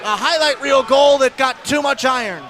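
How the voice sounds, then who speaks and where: High-pitched male hockey play-by-play announcer. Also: moderate pace, some chatter behind. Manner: flowing, descriptive calls that rise to shouts on big plays. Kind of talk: live ice hockey radio play-by-play commentary.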